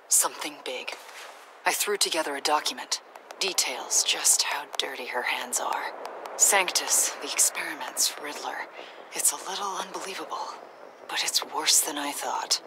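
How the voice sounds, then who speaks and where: Low-voiced, earnest female agent, acted. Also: lightly acted. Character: Avesta, earnest troubled adult female government agent. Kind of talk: naturalistic video game acting. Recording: clear ambient background noise.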